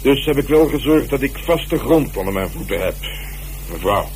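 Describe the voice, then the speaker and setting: An actor playing a methodical police detective in a radio drama, talking in a measured, colloquial, dryly ironic way. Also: male voice, medium pitch, animated, darkish tone, lightly acted. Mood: coolly insistent. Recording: noisy radio play recording, heavy background noise.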